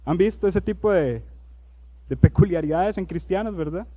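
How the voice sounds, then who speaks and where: A male pastor preaching a sermon. He reads scripture aloud, slows down on key words, and builds to loud, emphatic preaching. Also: high voice.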